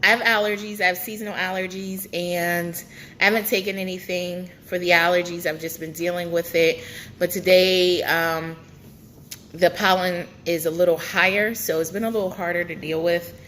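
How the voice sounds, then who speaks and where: A woman's voice: on the low side, steady tone, moderate pace, a bit bright, a little hiss or hum. A young woman vlogging a casual pregnancy update, chatty and rambling with many fillers, her nose stuffed up.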